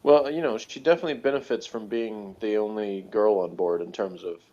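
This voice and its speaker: A man chatting casually as a review co-host, thinking aloud in a halting, rambling way with small chuckles. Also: on the low side, mellow. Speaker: podcast co-host reviewing a TV series. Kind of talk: casual chat, rambling analysis. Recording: dull, lo-fi audio.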